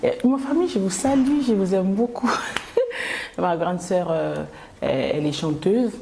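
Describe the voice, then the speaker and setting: A young woman from Kinshasa, a singer interviewed as guest, speaking in a hesitant, halting way. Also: medium pitch, creaky, dark tone, slight background noise. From Congo (Kinshasa). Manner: hesitant, many pauses and laughs. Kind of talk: casual interview.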